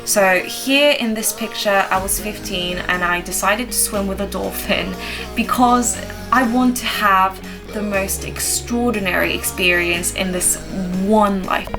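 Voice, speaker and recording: A woman's voice: mid-range voice, poor audio. A woman in her twenties, raised in France, earnestly reading out numbered facts about herself as a vlogger. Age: 20s. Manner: earnest, measured, announces each numbered fact. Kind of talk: reading a list of personal facts. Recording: voice-over with clear background music. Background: background music.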